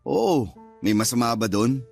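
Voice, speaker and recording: A calm, concerned older brother with a Filipino accent. His mid-pitched voice stays firm, in Tagalog radio drama style over faint music. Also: unhurried, gravelly.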